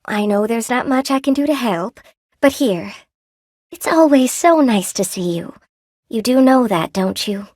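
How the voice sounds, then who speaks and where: An actress voicing a gentle, lonely spider-woman shopkeeper in a video game, polite and sweetly attentive, with old-fashioned genteel phrasing. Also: fairly high pitch, animated, unhurried, no room sound, studio quality. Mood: warm, welcoming. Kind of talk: video game character voice acting.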